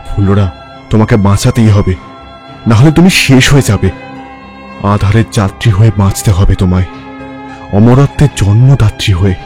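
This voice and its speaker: A man voicing a grave, urgent protector who warns of evil, dramatic and forceful, in a horror audio story. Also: fairly low pitch, lightly acted.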